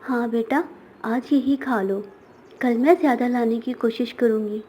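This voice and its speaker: Gentle, soft-toned mother bird played by a woman. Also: on the high side, steady tone, unhurried, slightly rough. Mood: tender, resigned. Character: poor, self-sacrificing, tender mother bird.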